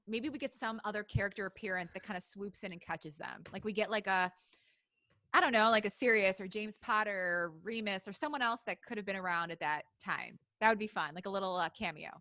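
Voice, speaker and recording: A woman's voice: medium pitch, brisk, pushed and bright, no echo, clean studio sound. A woman co-hosting a casual, comedic podcast chat, giggly and a little hesitant as she talks. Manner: giggly, hesitant when recapping. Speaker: podcast co-host. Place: recorded remotely over a video call.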